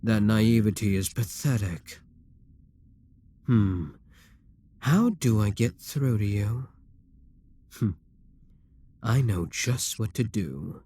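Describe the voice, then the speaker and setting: A man playing an arrogant, seductive demon king in a dramatic ASMR roleplay, speaking in a deliberate, commanding, theatrical way. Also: lowish voice, animated, dark tone, slightly rough, full character voice. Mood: coaxing, persuasive.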